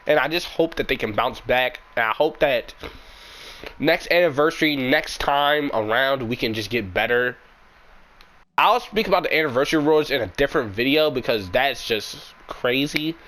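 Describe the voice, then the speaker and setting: A young man with a sick, raspy voice, giving frank, rambling opinions as a gaming YouTuber in casual commentary. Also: mid-range voice, animated, normal speed, fairly bright tone, rough recording.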